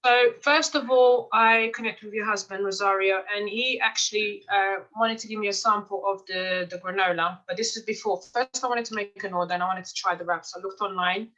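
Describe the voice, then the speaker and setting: A bright, clear woman tells her stories in a relaxed, chatty way. She often restarts her sentences and fills pauses with "um" and "uh." Her pitch sits in the middle of the range. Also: lo-fi sound. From Mozambique. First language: Portuguese. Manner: chatty storytelling, frequent fillers and restarts. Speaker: life coach and client. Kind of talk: testimonial interview, casual.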